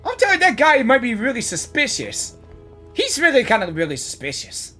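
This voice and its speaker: A woman's voice: on the high side, huge pitch swings, fairly quick, sharp tone, faint music behind. A woman gamer giving casual, exclamatory reaction commentary, often repeating herself. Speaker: gamer reacting to a game story.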